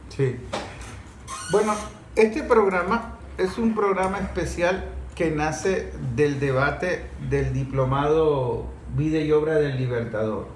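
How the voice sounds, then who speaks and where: A man hosts a talk programme with a fairly high voice. He explains and argues emphatically, restating his points. The room is clearly echoey. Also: slow. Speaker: host of a discussion programme. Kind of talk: opinionated talk show discussion. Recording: echoey room with faint background noise.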